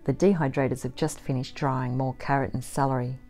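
A middle-aged woman speaks in a low, warm, unhurried voice, calm and matter-of-fact as she narrates her homestead chores. It is a scripted voice-over with soft instrumental music playing faintly underneath. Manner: gentle, measured and matter-of-fact. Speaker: homesteading vlogger.

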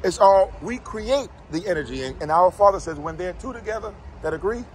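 A man chatting casually and excitedly as a tour guide, emphatic and often repeating his phrases. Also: high voice, a little fast, low-quality recording. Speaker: tour guide interviewing guests. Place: outdoors by a brick building and tour bus. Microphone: handheld phone, speaker behind camera. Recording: clear outdoor street and vehicle noise.

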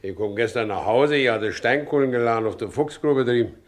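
An actor playing a good-natured, worried, ageing carter in a radio drama, talking plainly and grumbling. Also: male voice, mid-range voice, brisk, natural voice. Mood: troubled grumbling. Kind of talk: 1960s German radio drama acting.

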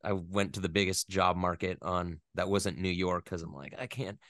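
A young American man from the West Coast talking about his work in a casual interview, rambling and animated, often laughing at himself. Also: low-pitched, normal speed, no room sound, studio quality. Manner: rambling, animated, self-deprecating. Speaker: voice actor guest.

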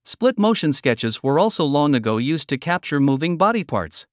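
A man reading a scripted documentary narration in an even, measured way. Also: a little high, no room sound, studio quality.

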